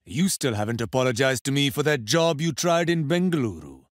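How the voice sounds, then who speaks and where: A man acting out an aggrieved video game agent. He has a medium-pitched voice with wide swings, a firm and reproachful manner, and a dry close sound. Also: lively intonation, fairly quick, dry recording, close to an everyday voice. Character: stern, aggrieved adult male agent holding a grudge.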